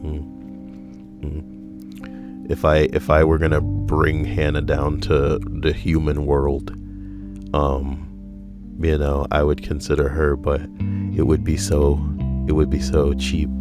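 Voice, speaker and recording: A man co-hosting a casual podcast, reading out strangers' stories with wry, deadpan, rambling asides. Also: extremely low pitch, takes it slowly, long pauses, music in the background. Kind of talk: casual joking commentary.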